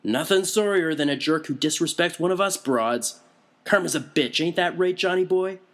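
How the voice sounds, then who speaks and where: A man's voice: fairly high pitch, moderate pace. Man doing a brash, mocking older punk woman. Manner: tactless, mocking, loud. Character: brash tattooed punk woman in her late fifties.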